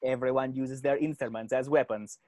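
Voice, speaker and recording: A man reads out cartoon stage directions as a scripted narrator. His pitch is average and the sound is dry, with little room around it. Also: fairly even intonation, moderate pace, a little mellow, no room sound.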